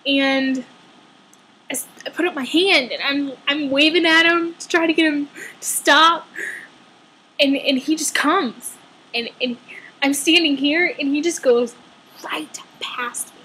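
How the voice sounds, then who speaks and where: A woman's voice: high-pitched, steady tone, unhurried, long pauses, sharp tone, rough recording. A woman telling a personal story casually, dramatically acting out dialogue with a sarcastic edge.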